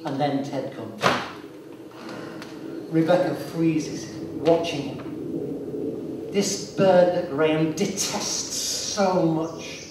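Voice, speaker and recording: Male narrator for live story drama, slightly high voice, deliberate delivery, very reverberant and noisy recording. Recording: distant, very echoey hall, clear noise.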